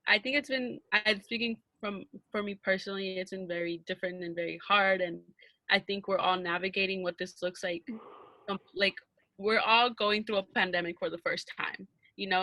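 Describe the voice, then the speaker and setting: A woman's voice: mid-range voice, fairly even intonation, a little fast, pushed and bright, no room sound, rough recording. A young woman from Arizona hosting a casual podcast chat on a video call, bubbly, laughing often and filling with um and like. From Arizona, USA. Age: young adult. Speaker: podcast host.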